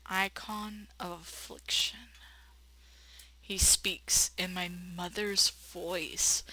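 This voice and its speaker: A woman's voice: fairly low pitch, bright, forceful tone, poor audio. A woman reading a game dramatically and adding casual, slangy, irreverent commentary as a let's play host.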